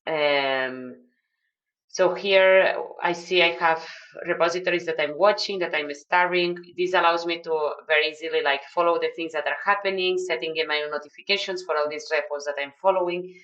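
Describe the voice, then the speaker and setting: A woman's voice: fairly low pitch, steady tone, normal speed, a bit bright, lo-fi sound. A woman with a Spanish accent, a non-native English speaker, mentoring newcomers on a video call and explaining steps with many fillers and restarts. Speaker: project mentor. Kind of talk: online video call briefing.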